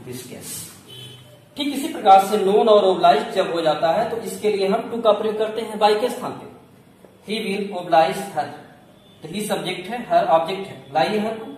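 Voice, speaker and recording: A high-voiced man with an Indian accent gives a lesson in a didactic, question-and-answer manner. He explains step by step like a schoolteacher. The sound is very reverberant and distant from the mic. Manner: didactic, asks questions and answers them himself. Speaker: English grammar teacher. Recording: very echoey room, distant mic, faint noise.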